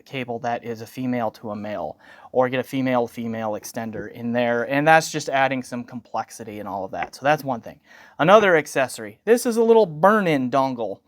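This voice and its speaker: A man's voice: medium pitch, no echo, studio quality. A man giving an informal product review as a channel host, conversational and wordy with technical asides.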